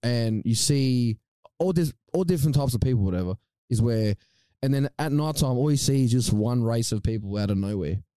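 A man telling a story in casual podcast chat, relaxed and slangy. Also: mid-range voice, fairly quick, no room sound, rough recording.

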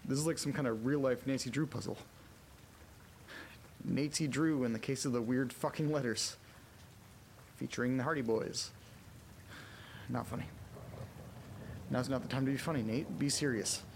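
A man playing a frightened character trapped in a haunted house, muttering to himself haltingly and swearing in a horror audio drama. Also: medium pitch, a little mellow, a little hiss or hum, lightly acted. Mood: tense puzzling.

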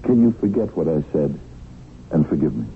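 An actor playing a remorseful, pleading husband in a 1970s radio drama. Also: male voice, very deep voice, sing-song, moderate pace, creaky, full character voice. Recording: dull, noisy old radio broadcast.

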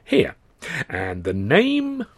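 A man voicing a fussy, eager-to-please older shipping clerk with a high, stretched delivery. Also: sing-song, moderate pace, slightly rough, no echo. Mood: obliging.

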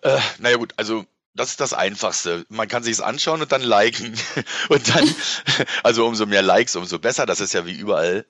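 A man's voice: fairly low pitch, fairly bright tone, dry recording. A middle-aged man chatting casually as an interview guest in a rambling, colloquial, enthusiastic way, on slightly dull audio. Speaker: interview guest, event presenter and moderator.

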